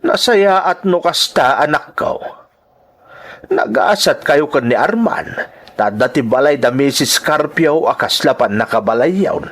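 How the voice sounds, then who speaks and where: A man's voice: fairly high pitch, animated, measured pace, fairly bright tone. A man playing a caring, accepting older father in a radio drama, with an Ilocano accent. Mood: warm interest.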